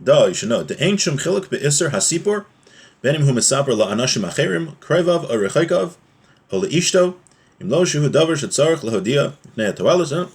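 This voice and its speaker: A man's voice: on the high side, big pitch swings, brisk, husky. A man teaching a religious text, reading passages aloud and explaining them in an informal, plain-spoken way.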